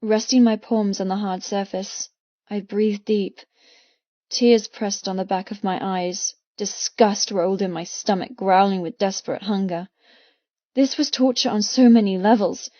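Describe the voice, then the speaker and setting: A female audiobook narrator with a medium-pitched voice reads first-person fiction expressively and intensely. The recording is very dry and slightly muffled, with no room around the voice. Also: steady tone, a little slow, dark tone, no echo. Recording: slightly muffled, dry close studio sound.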